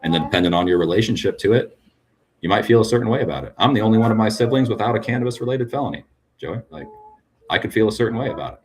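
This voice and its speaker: A man's voice: fairly low pitch, lively intonation, very fast, a crowd in the background. A man speaking frankly as a podcast guest, often tagging his points with "right?". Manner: frank, tag questions with "right?". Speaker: cannabis business guest. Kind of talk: conversational podcast interview.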